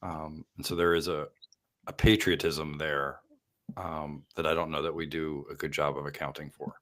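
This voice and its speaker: A man hosting an interview, with a very low voice that still sounds bright and forceful. He talks in a measured, thoughtful way and pauses on fillers as he builds his point. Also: flat delivery. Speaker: host. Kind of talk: conversational interview.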